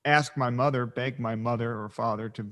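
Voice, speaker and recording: A man's voice: mid-range voice, steady tone, normal speed, a bit bright. A man co-hosting a podcast who asks long, meandering questions in a casual way. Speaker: podcast co-host.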